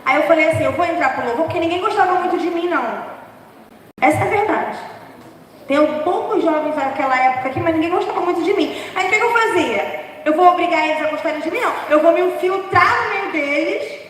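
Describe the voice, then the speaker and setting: A young woman preaching an informal sermon, chatty and humorous, laughing at herself, with a hoarse voice. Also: very high-pitched, fast, a bit bright, a little hiss or hum. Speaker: preacher from the dance ministry. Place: large church hall. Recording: echoey church hall from far off.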